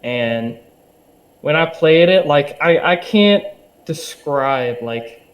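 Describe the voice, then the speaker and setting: A man's voice: fairly high pitch, expressive, slow pace, low-quality recording. A young man, a podcast guest, chatting casually in a hesitant way with lots of fillers and eager restarts. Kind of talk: casual interview chat.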